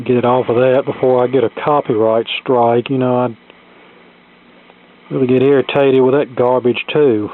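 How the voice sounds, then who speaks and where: A man's voice: medium pitch, steady tone, moderate pace, slight background noise. A man giving casual, plainspoken commentary as he presents a radio repair, in a folksy way. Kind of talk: casual workbench repair narration. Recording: phone-like lo-fi sound, music behind. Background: tube AM radio playing.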